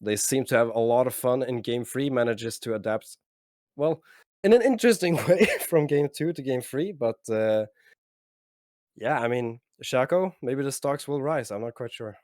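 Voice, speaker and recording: A man casting esports, giving a casual, halting post-game analysis full of fillers. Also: mid-range voice, darkish tone, no room sound, studio quality. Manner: hesitant, many fillers and restarts, measured. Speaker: esports caster.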